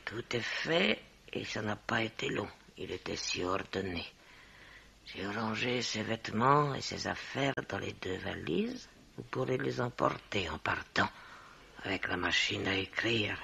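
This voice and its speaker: Slow, gravelly, sly hotel snoop. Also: female voice, extremely low pitch, expressive, sharp tone, exaggerated voice. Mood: calm, insistent. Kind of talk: French radio mystery drama acting. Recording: dull vintage-style radio recording.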